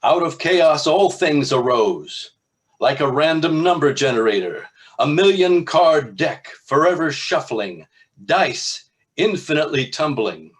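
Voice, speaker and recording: A man voicing a fervent, charismatic high priest in a dystopian novel, declaiming in drawn-out, incantatory phrases. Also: very animated. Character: bellowing charismatic male high priest, cult showman, fervent.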